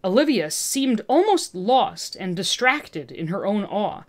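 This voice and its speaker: A woman's voice: medium pitch, very animated, unhurried. A woman reading fiction aloud as narrator, in a dramatic scripted storytelling style.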